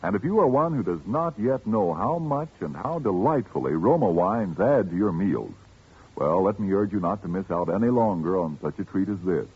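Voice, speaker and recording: A man's voice: expressive, moderate pace. A man reading a persuasive commercial in an old radio broadcast. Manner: smooth, persuasive sales pitch. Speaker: commercial announcer. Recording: dull 1940s radio recording.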